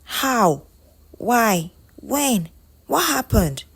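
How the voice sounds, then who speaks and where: Woman acting a high-pitched, emotional young Nigerian woman. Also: huge pitch swings, unhurried, darkish tone, heavily acted. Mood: shocked. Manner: exclaiming, pleading questions. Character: emotional young woman, a betrayed, anxious friend.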